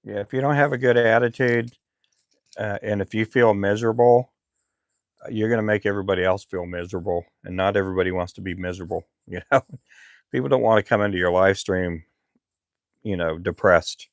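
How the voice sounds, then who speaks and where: A middle-aged man who makes fishing lures, chatting casually on his live stream in a relaxed, rambling way with lots of fillers. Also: on the low side, a little fast, dry recording. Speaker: lure maker live streamer.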